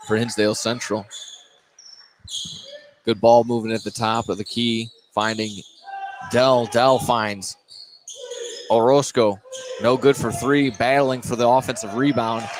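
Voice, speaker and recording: A man doing live play-by-play basketball commentary, halting at times and correcting himself mid-call. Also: mid-range voice, fairly even intonation, noisy background. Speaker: play-by-play announcer. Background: gym ambience with bouncing basketballs.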